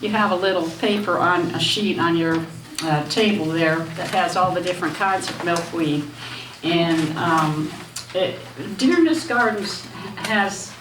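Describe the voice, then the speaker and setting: Woman guest speaker, gentle and halting, recorded from afar in a noisy hall. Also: lowish voice. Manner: soft-spoken, halting with many fillers. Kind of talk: casual talk to a women's lunch group. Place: echoey hall with audience. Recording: echoey hall, distant, background noise.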